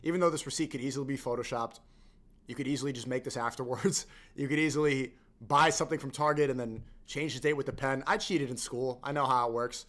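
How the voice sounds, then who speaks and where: A man's voice: medium pitch, fairly even intonation, quick pace, fairly bright tone. A man live-streaming and reacting to clips in casual, blunt talk full of slang like "bro". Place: Manhattan.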